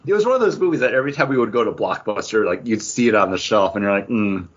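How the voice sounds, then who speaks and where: A man's voice: lowish voice, animated, quick pace, slightly rough, muffled recording. A man co-hosting a podcast, chatting casually about comic books in a rambling, conversational way. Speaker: podcast co-host. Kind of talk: casual comic book review chat.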